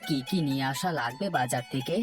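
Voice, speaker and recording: A man's voice: mid-range voice, fast talker, lightly acted. A man playing a worried, dutiful village husband and father in a cartoon, talking plainly and earnestly. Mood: everyday, brisk. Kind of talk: cartoon story dialogue. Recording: music and crowd bed behind voice.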